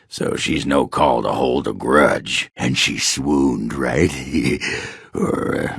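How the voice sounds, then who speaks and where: A crude male dwarf warrior for a fantasy game. His voice is extremely low and packed with gravel. He swaggers through his words at a slow, boozy drawl, boastful and rough. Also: a bit bright, no room sound, clean studio sound, full character voice. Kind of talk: video game character acting.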